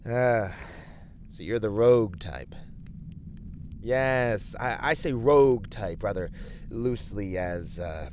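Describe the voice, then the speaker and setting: A man's voice: on the low side, darkish tone, slight background noise. A man playing an earnest, courteous fantasy adventurer in an ASMR roleplay, speaking in formal, thoughtful, slightly hesitant phrases. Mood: curious, musing.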